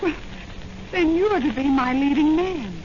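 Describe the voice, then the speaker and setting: An actress playing a spirited, defiant young captive heiress in an old radio adventure serial. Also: female voice, high voice, animated, measured pace. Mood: eager, anxious. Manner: insistent, spirited. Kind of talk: 1940s radio ingenue acting. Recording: noisy muffled 1940s radio recording.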